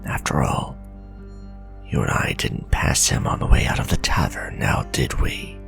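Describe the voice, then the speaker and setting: Gravelly, extremely deep old werewolf hunter. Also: male voice, sing-song, a bit bright, full character voice. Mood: grim, bitter. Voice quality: very deep, gravelly, breathy growl. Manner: grim, scornful, slow and deliberate warnings. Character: gruff centuries-old male werewolf vampire hunter, bitter and distrustful. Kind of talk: dramatic ASMR fantasy roleplay. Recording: voice over clear background music. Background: music.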